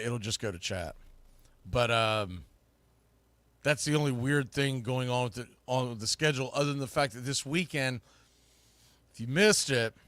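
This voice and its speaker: A man's voice: mid-range voice, a bit bright, dry recording. A man hosting a sports show, talking to viewers in a loose, informal, rambling way and often correcting himself. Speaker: host of a sports podcast and play-by-play streamer.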